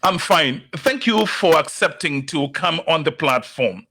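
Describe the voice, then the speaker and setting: A male host delivers a motivational opening at an unhurried, deliberate pace. His voice sits a little high and has a bright edge. He leans on key words for emphasis. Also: expressive. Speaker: talk show host. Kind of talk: motivational monologue and interview.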